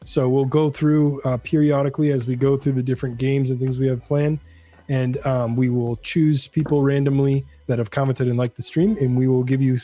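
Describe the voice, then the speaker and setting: A man's voice: medium pitch, little pitch movement, moderate pace, dark tone. A man co-hosting a live stream, explaining things in a casual, friendly, informal way.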